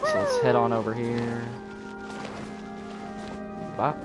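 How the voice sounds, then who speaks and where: A man with a mid-pitched, animated voice streams a game. He talks casually, thinking aloud as he plays. Music from the game runs clearly behind him. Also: slow. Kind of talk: casual gameplay commentary. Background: video game music.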